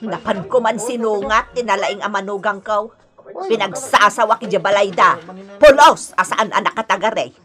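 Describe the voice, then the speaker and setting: A woman's voice: medium pitch, moderate pace, over music, close to an everyday voice. A Filipino woman with an Ilocano accent acting a quarrelsome older mother-in-law in a radio drama, theatrical and complaining. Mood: grumbling. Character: scolding, wheedling older mother-in-law, quick to take offence.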